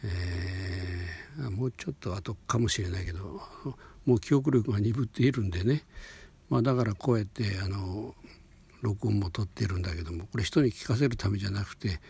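An elderly man in his eighties from Hokuriku, Japan, recounting personal memories in an unscripted, halting, meandering way with a husky, gravelly voice. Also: fairly low pitch. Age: 80s. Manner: halting, meandering, with sentence-final softeners.

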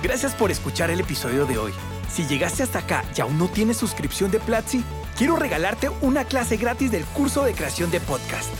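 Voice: medium pitch, big pitch swings, normal speed, over music. An announcer reading a scripted outro for a podcast, addressing listeners directly.

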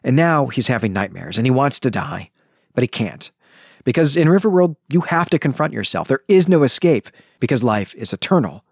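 A man hosting a podcast, giving book commentary in an emphatic way, repeating phrases deliberately for stress. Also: mid-range voice, big pitch swings, husky, no echo, clean studio sound. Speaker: podcast host.

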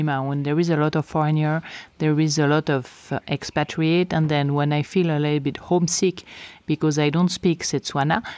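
Swiss French woman, fairly low voice. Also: darkish tone, no echo. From French-speaking Switzerland. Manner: unhurried, often repeats words for stress. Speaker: podcast host and lodge co-owner. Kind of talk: casual podcast monologue.

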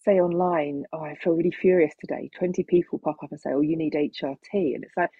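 Middle-aged woman, husky, creaky, thoughtful and hesitant, casual conversation, muffled lo-fi recording. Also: low voice, fairly even intonation, quick pace, dry recording. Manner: hesitant, thoughtful, chuckles while talking.

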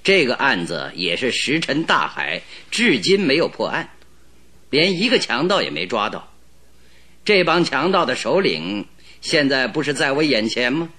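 A shrewd, self-assured detective from an old radio play. He presses and mocks with cool precision, his bright voice rising and falling in lively swings. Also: medium pitch, normal speed, slight background noise. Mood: accusing. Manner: probing, mocking, coolly pressing. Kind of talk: radio drama acting.

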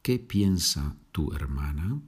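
A man with a Spanish accent gives a patient lesson, speaking slowly and repeating each phrase. His voice sits very low, and he takes his time over every word. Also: animated, darkish tone, slightly rough. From Spain. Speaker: Spanish teacher. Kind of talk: slow, repetitive language lesson.

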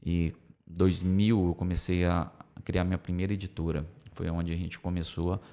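Guest, male, very low voice, Brazilian country twang, easygoing storyteller. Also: moderate pace. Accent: Brazilian Portuguese with a country twang picked up from the Center-West. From São Paulo state, Brazil. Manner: storytelling, relaxed, many fillers. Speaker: music publisher guest. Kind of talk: casual interview talk.

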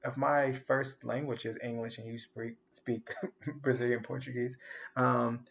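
A man's voice: mid-range voice, level tone, measured pace, a bit bright. A man co-hosting a casual podcast chat, reflective and rambling, often restarting his sentences.

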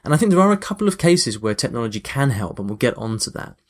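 A man's voice: medium pitch, sing-song, quick pace. Male podcast host, casual solo talk, mid-range voice, reflective with emphatic points. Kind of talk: casual chatty monologue to listeners.

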